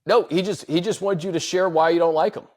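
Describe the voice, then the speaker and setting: A man's voice: a little high, fairly even intonation, quick pace, a little mellow. American man hosting casual sports talk. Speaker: sports show host reading fan questions.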